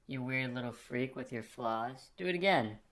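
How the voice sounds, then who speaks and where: A man's voice: medium pitch, animated, normal speed, a bit bright, natural voice. A man playing a crass, self-absorbed king in a puppet film, talking in a casual, rambling, condescending way. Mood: smug, amused. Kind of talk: puppet film character acting.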